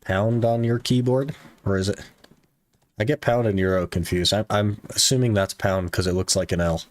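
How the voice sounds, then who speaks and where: A man's voice: on the low side, normal speed, dry recording. A man streaming a game live, chatting casually with his viewers and using many "like" fillers. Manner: relaxed, talks to chat with many "like" fillers. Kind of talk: casual live-stream chat while gaming.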